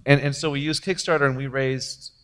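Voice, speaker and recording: A man's voice: mid-range voice, steady tone, a little fast, fairly bright tone, clean tone, long reverb tail. A man, a filmmaker, talking at a panel after a film premiere in a jokey, rambling way with many fillers. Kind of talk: post-premiere panel talk.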